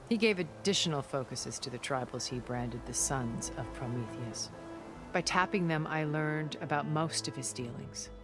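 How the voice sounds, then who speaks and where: Low-voiced, worldly, regretful schemer. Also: female voice. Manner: measured, articulate, theatrical. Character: worldly, regretful, scheming middle-aged woman of a far-future elite. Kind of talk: dramatic video game cutscene acting.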